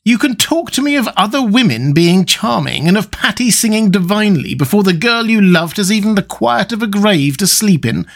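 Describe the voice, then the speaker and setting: A man's voice: high-pitched, big pitch swings, moderate pace, fairly bright tone, voice pushed up, full character voice. A man reading a classic novel aloud, voicing an earnest, morally shocked older painter who speaks with pained, emphatic reproach.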